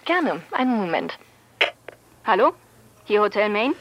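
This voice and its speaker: A woman's voice: medium pitch, very animated, unhurried, a bit bright, low-quality recording. An actress in a German radio drama playing a polite, businesslike woman answering the telephone. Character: polite, businesslike telephone operator and hotel clerk.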